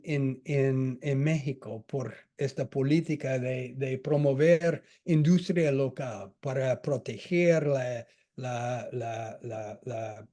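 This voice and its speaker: Slow, halting lecturer with a mellow voice. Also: male voice, medium pitch. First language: not Spanish. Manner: halting, many restarts and fillers. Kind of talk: academic lecture. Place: lecture hall.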